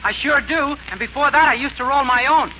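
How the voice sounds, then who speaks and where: A man's voice: very high voice, a little fast. A young man playing a naive, dim-witted kid in a 1940s radio comedy, earnest and innocent. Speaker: comic singer in a radio comedy. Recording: lo-fi 1940s radio broadcast with loud hiss.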